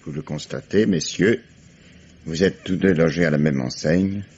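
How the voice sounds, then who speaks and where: An actor playing a sardonic, authoritative police commissioner in a 1950s-style French radio mystery, speaking in a measured, methodical way. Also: male voice, sits low, darkish tone, breathy edge. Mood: dry, firm. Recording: dull, muffled vintage-style radio sound.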